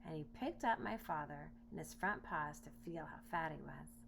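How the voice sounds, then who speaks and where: Expressive woman reading a children's story. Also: low-pitched, normal speed, creaky. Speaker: storybook reader. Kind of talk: expressive children's read-aloud narration. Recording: noisy home recording with hum.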